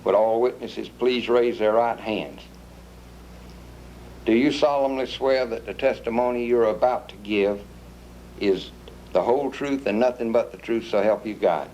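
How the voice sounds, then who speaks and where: A man's voice: medium pitch, unhurried, darkish tone, muffled recording, close to an everyday voice. A man playing a formal, procedural traffic court judge in a scripted reenactment. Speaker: judge in a training film reenactment.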